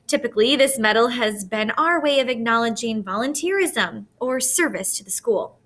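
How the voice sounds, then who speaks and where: Earnest, bright-toned school principal voiced by a woman. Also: a little high, expressive. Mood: proud, formal. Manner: earnest, measured, oratorical. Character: dignified male school principal giving a ceremonial speech. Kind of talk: formal speech in a storybook reading.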